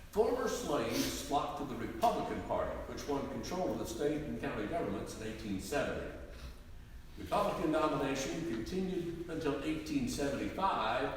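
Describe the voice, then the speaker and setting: Male narrator, lively intonation, deliberate scripted history, distant in a very reverberant hall. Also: mid-range voice. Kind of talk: scripted historical narration, live dramatic reading. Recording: echoey hall, distant mic, faint noise.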